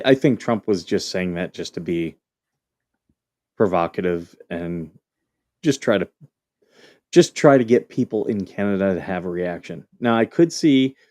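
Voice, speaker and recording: An American man co-hosting a podcast, making measured remarks in a casual political chat. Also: sits low, darkish tone. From United States.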